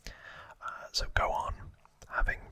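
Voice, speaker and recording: A man whispering an ASMR trivia recap in a breathy voice, informal and a little hesitant, as if recalling it from memory. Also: slow pace, bright, forceful tone. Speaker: ASMR host.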